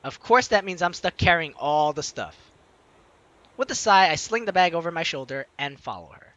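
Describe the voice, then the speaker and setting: A man's voice: on the high side, animated, moderate pace, a bit bright, no room sound, muffled recording. A young man playfully reading a visual novel aloud and commenting on it as a let's play host, with game music behind him. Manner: playful, theatrical, jokey reactions.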